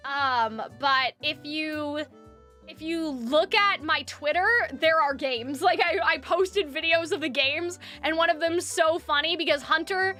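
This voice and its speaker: A woman's voice: high voice, a little slow, pushed and bright, soft background music. A young adult woman streamer in casual chat, rambling with fillers and restarts, her voice dry from lack of water.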